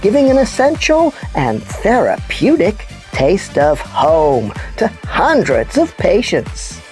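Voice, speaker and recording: A woman voicing an excitable, theatrical puppet news presenter on a scripted children's show. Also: mid-range voice, slow, faint music behind. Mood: upbeat, informative.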